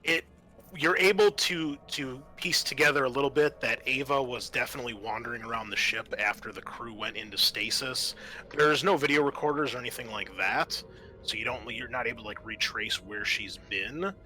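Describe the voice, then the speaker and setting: A man narrating an improvised tabletop game as game master, halting and often pausing mid-sentence. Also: mid-range voice, bright, forceful tone, faint music behind, rough recording.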